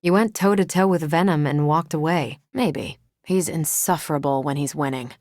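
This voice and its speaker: A woman's voice: low voice, normal speed, a little mellow, dry recording, studio quality, close to an everyday voice. An American woman voicing a confident, wry young superhero leader in a video game, with breezy, sardonic delivery. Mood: matter-of-fact.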